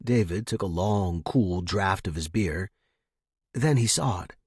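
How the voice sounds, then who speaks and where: A man's voice: deep voice, a little slow, slightly rough, dry recording, clean studio sound. A man reading a scripted fiction story as its narrator.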